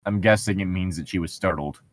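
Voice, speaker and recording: A man's voice: low-pitched, brisk, dry recording. A young man casually bantering and reading aloud in a mocking, sarcastic way, swearing freely. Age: young adult. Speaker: co-host reading and mocking a book.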